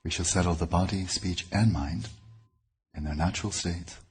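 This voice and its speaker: A man leading a guided meditation as a teacher, speaking in deliberate, measured phrases with pauses. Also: deep voice, steady tone, husky.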